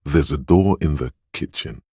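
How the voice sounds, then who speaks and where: A man voicing a suave, wry inner voice of a detective in a video game, narrating in a measured, knowing way. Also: very deep voice, warm tone, slightly rough, dry recording, studio quality. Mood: sly, urging. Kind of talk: second-person game narration.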